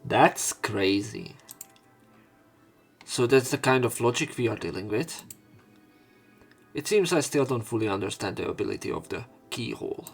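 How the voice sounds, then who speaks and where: A man's voice: medium pitch, animated, normal speed, pauses often, husky, faint music behind. A man reading visual novel text aloud as dramatic narration for a let's play.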